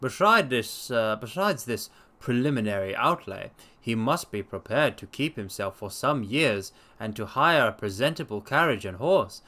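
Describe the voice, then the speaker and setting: A man voicing an earnest, modest young Victorian doctor, formal and measured, in a dramatic story reading. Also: mid-range voice, animated, bright, forceful tone, voice pushed down.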